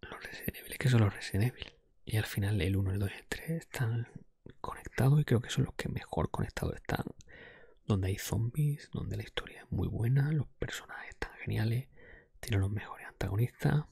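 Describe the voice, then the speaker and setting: A man from delivers ASMR in a very breathy, hushed whisper. His low voice ambles along conversationally and unhurriedly, captured close to the mic with soft mouth clicks. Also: a little fast, no room sound. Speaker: ASMR podcast host. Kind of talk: ASMR, improvised ranking of video games.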